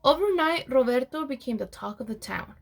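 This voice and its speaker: A woman reading a children's storybook aloud in an expressive storyteller's manner, with deliberate pauses. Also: high-pitched, vocal fry, slight background noise. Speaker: program administrator reading aloud.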